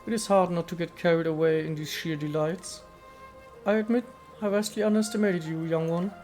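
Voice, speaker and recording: A man's voice: on the high side, steady tone, fairly quick, darkish tone, soft background music, close to an everyday voice. A man voicing an ancient female nature spirit from a video game, speaking in a serene, formal, poetic manner. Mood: gracious, wistful. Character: ancient female statue goddess spirit, serene, aloof, contemplative. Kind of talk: dramatic reading of video game dialogue.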